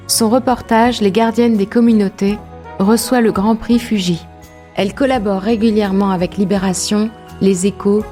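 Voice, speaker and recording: A woman radio interviewer reads a composed, scripted introduction and then poses calm questions. Her voice is mid-pitched and mellow. Faint music plays beneath her words. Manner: measured, composed delivery.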